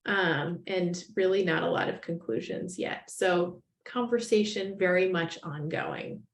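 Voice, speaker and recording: A woman's voice: fairly low pitch, a little slow. A woman guides a group discussion for medical residents. She speaks casually and thinks aloud, circling back on her words as she explains. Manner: conversational, hedging with "like" and repeats. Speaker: lecturer.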